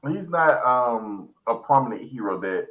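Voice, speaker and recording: A man's voice: medium pitch, animated, a little slow, a bit bright, rough recording. A man co-hosting a casual chat in a joking, questioning and insistent way. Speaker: guest co-host.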